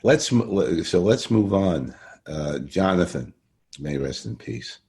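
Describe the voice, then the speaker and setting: Male host, extremely low pitch, gravelly, chatty, effusive, repeats himself for emphasis. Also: animated, takes it slowly, a little mellow. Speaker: interview host. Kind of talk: casual chatty interview.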